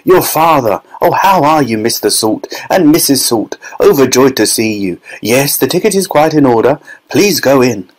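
A man voicing an excitable, effusive little factory owner in a storybook reading, with a fluty, fussy, exclamatory delivery. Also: medium pitch, normal speed, hardly any pauses, lightly acted, rough recording. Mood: delighted, gushing.

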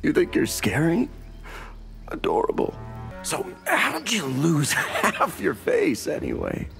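The smug, sarcastic super-man in a fighting game, slowly toying with his next victim before the round starts. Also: on the high side, huge pitch swings, creaky, fairly bright tone, soft background music, full character voice. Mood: condescending menace. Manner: mocking, condescending, drawling sarcasm. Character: smug, menacing, narcissistic caped male superhero. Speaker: video game villain actor. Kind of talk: video game fight taunts.

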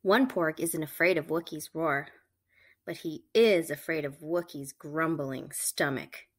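A woman reading a picture book aloud to children, with animated, emphatic storytelling delivery. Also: low-pitched, unhurried. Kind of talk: expressive read-aloud narration for children.

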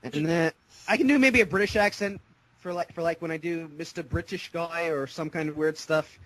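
A man's voice: on the high side, normal speed, fairly bright tone, poor audio. A man co-hosting a voice-call chat, hesitant and repeating his words. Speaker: co-host. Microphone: video call.

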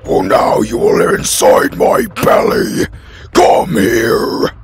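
A man voicing a menacing, boastful, hungry demon in an audio roleplay, with a harsh strained rasp and taunting villainous delivery. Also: takes it slowly, raised voice, a loud crowd, lightly acted.

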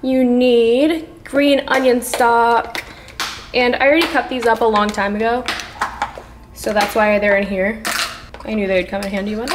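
A woman's voice: fairly high pitch, steady tone, moderate pace, noisy background. A woman in her twenties chatting informally and casually as a vlogger while she cooks at home. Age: 20s. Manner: informal, chatty, talks affectionately to pets. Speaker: vlogger and host of a home cooking series. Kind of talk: casual vlog chat while cooking. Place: home kitchen, indoors. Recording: echoey room, music behind.

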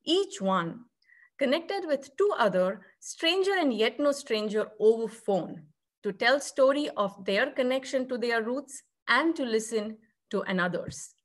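Woman, fairly high voice, scripted talk, reflective and poetic phrasing, video call. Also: expressive, a little slow. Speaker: theatre artist presenting at a conference. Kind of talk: scripted presentation narration.

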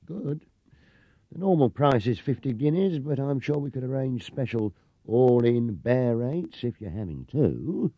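Man as an oily, animated British salesman. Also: medium pitch, measured pace, no echo, voice pushed up. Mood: fawning. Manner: fawning, then curt and sneering. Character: oily coach-tour salesman who turns nasty. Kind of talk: storybook character reading. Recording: dull 1970s cassette recording.

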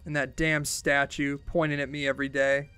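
A man's voice: on the high side, flat delivery, moderate pace, sharp tone, clean tone, faint music behind. A man voicing a drunk young college dropout from a game, crude and maudlin, rambling in slangy, self-deprecating bursts. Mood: drunken despair.

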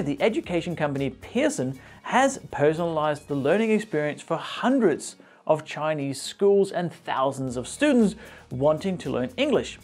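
Guy in his 40s hosting tech news, speaking english, really lively pitch, bit of wry humour, music under it. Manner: animated, playfully wry. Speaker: host. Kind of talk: scripted tech news presenting to camera. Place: small indoor studio. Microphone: lapel mic.

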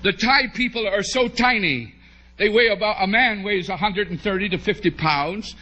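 A middle-aged American man preaching, telling a humorous story to a congregation in an animated way with drawn-out emphasis. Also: high voice, highs cut off. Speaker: preacher, bishop. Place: large church hall, at a pulpit before a congregation. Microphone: podium microphone on a stand.

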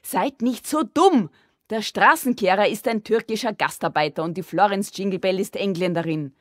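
A woman's voice: lowish voice, lively intonation, measured pace, dry recording, clean studio sound. Storybook small daughter, voiced by a female narrator: earnest, offended, insistent about her wild tales. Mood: indignant.

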